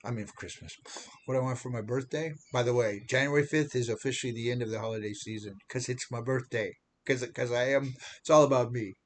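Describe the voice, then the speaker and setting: Older American man, 70, faith vlogger, halting self-mocking testimony, mid-pitched voice, faint rumble in the background. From United States. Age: 70s. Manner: rambling, repeats words and restarts, self-deprecating. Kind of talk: personal testimony monologue.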